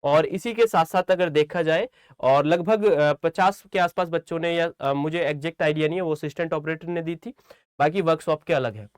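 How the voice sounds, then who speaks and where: A man's voice: fairly high pitch, normal speed, clear, resonant tone, no room sound, clean studio sound. A man giving informal instructional talk to students as a coaching teacher, repeating phrases for emphasis and often checking that they follow.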